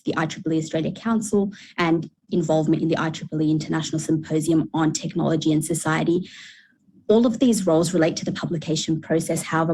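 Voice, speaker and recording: A woman with a very low voice delivers formal, prepared panel remarks as a journal co-editor. Faint noise sits behind her. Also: a little mellow, poor audio. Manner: formal, reads from prepared text.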